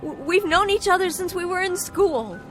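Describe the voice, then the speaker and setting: A woman's voice: very high-pitched, lively intonation, normal speed, a bit bright, soft background music. An actress playing a distraught young woman traveler in a radio drama, agitated, insistent and pleading.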